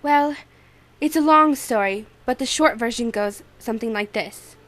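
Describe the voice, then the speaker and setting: Woman playing a high-voiced, fragile young damsel. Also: moderate pace, fairly bright tone, dry recording, close to an everyday voice. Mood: hesitant. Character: fragile, earnest young woman, about twenty. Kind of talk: old-time radio-play acting. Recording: music under the voice.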